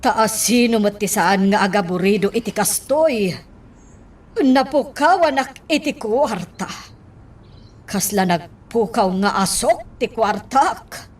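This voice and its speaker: A woman's voice: medium pitch, lively intonation, slow pace, recorded from a distance. A woman playing a bitter, fretful mother in an Ilocano radio drama, complaining in an aggrieved way with an Ilocano accent. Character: fretful, bitter mother upset over lost money.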